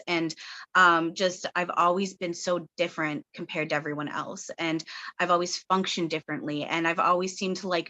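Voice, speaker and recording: A woman's voice: lowish voice, pushed and bright, dull audio. A woman in her thirties from Canada, a guest channeler talking in a rambling, earnest way with many fillers on a webinar. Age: 30s. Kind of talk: casual webinar chat.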